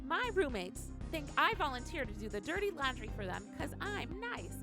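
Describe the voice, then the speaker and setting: A woman voicing a shameless, cheeky little goblin reading out tips in a squeaky comic voice. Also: sing-song, normal speed. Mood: gleefully shameless. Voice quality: squeaky, creaky. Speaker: reader of viewer tips. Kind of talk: comedic character reading. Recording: clear music bed behind.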